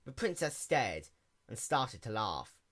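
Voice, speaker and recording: A woman narrates a fantasy story from a script. Her voice sits low for a woman, with a bright, crisp edge. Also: very animated, slow pace. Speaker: story reader. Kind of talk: scripted fan-fiction narration.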